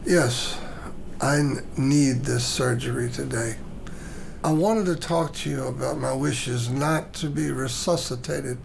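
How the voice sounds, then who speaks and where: An actor playing a male surgical patient with a do-not-resuscitate order, speaking in a halting, unhurried way in a training dramatization. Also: mid-range voice, vocal fry, slight background noise. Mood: earnest.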